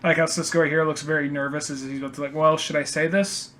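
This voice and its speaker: Casual young man, slightly high-pitched voice. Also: little pitch movement, fast, a bit bright. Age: young adult. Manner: conversational, filler-heavy with frequent "like". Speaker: podcast co-host. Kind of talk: casual commentary and banter.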